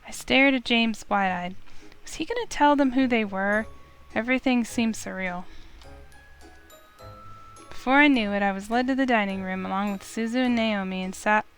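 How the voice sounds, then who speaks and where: A woman's voice: fairly high pitch, normal speed, long pauses, clean tone. A woman reading a visual novel aloud in character as the heroine, with music behind her. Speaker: game streamer reading the heroine.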